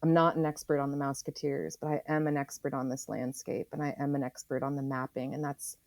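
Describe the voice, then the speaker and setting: Low-voiced American woman, dry close sound. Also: level tone, a little fast, darkish tone, no echo. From Oregon, United States. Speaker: interview guest, author and geologist. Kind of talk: reflective conversational interview explanation.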